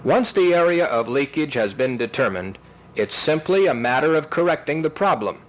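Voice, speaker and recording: A man reads scripted instructional narration in a methodical, step-by-step way, enunciating clearly. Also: on the high side, animated, moderate pace, low-quality recording. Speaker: training film narrator.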